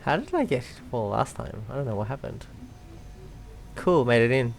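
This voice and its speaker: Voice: medium pitch, sing-song, moderate pace, vocal fry, a little hiss or hum. A gamer giving casual commentary while playing, talking to themselves and viewers with frequent exclamations. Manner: talks to self and viewers, exclaims, giggles often. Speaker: let's play video host.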